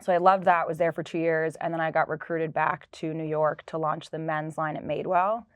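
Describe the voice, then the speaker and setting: A woman's voice: low-pitched, little pitch movement, brisk, no echo. A woman in her thirties, a sustainability director, telling her career story fluently in a casual interview. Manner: fluent, storytelling, light laughs. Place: office.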